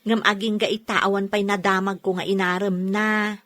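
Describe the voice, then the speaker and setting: A woman's voice: mid-range voice, level tone, normal speed, a bit bright, close to an everyday voice. A woman with an Ilocano accent playing a chatty, teasing young friend in an audio drama. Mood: gossipy, confiding. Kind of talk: radio drama acting in Ilocano.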